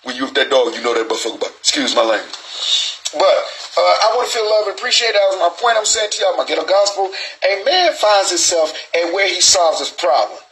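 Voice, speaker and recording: Forties male host, slightly higher pitch, rambling streetwise sermon-style talk from a small booth. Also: very animated, quick pace, fairly bright tone, slight background noise, low-quality recording. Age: 40s. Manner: rambling, profane, preachy with restarts and stutters. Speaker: show host. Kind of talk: freewheeling street monologue.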